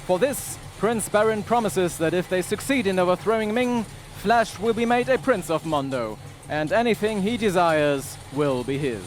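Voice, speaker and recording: A man's voice: high-pitched, lively intonation, moderate pace, few pauses, over music. A man giving scripted, dramatic narration for a radio adventure serial. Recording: clearly noisy background ambience.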